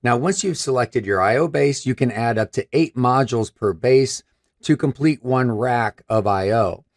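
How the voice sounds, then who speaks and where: A man's voice: medium pitch, moderate pace. Man, 50s, scratchy voice, upbeat, chatty, live stream host, product presentation. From Michigan. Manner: upbeat, chatty, gestures while talking. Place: room with a brick wall backdrop.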